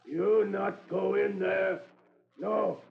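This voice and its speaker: A menacing guide in a vintage radio drama, with a high, heavily cracked voice. He gives stubborn warnings in short, broken English, dragging very slowly on a thin, tinny recording. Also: fairly even intonation, creaky, exaggerated voice. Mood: forbidding. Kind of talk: old-time radio drama acting. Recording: tinny, faintly noisy old radio recording.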